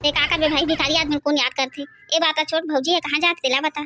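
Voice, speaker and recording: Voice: high voice, fairly even intonation, fairly quick, sharp tone, a little hiss or hum. A performer voicing a cheeky, idle village lad who grumbles and teases in a Chhattisgarhi accent for a comedy cartoon.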